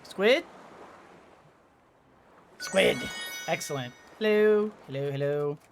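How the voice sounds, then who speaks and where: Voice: medium pitch, animated, slow, music in the background. A video game streamer giving casual commentary, thinking aloud in a hesitant, rambling way.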